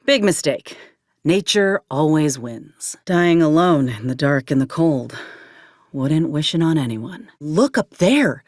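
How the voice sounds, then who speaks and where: Game companion: plain-spoken female ex-army medic, wary and folksy, mid pitch with lively swings, close dry recording. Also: slow, no echo, full character voice. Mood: watchful, dry. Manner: folksy, drawling frontier speech with ain't and folk. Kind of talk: video game character dialogue.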